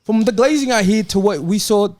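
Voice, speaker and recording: A man's voice: high voice, fairly quick, darkish tone. A man in a heated, casual football debate, insistent and argumentative, teasing the others as he presses his case. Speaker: football fan panelist.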